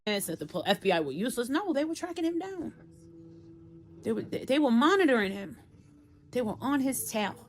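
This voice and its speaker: A woman streamer commenting on the news in rambling, off-the-cuff talk, halting and repeating herself for emphasis. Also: a little high, very animated, slight background noise.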